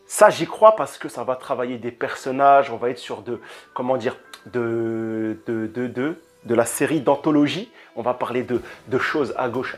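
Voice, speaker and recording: Man, casual rant, animated, hesitant restarts, handheld mic, faint music behind. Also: mid-range voice. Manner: animated, frequent hesitations and repeated words. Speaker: YouTube reviewer. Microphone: handheld microphone.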